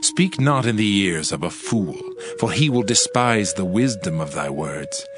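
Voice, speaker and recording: A man's voice: sits low, lively intonation, measured pace. A man giving a dramatized Bible reading in a solemn, emphatic, oratorical manner. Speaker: scripture reader. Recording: music behind the voice. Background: music.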